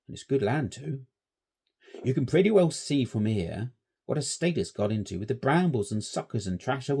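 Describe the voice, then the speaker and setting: Guy doing a grave old shepherd, slow rustic dialect, voice rising and falling a lot. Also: medium pitch, mellow, slightly rough, heavily acted.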